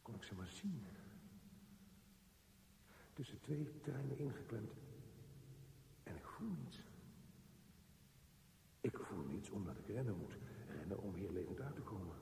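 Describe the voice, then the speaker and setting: An actor playing a lone, anxious man brooding in a tunnel, speaking a radio drama monologue in halting, clipped phrases. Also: fairly low pitch, big pitch swings, creaky, warm tone, heavily acted. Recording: echoey hall reverb, faint hiss.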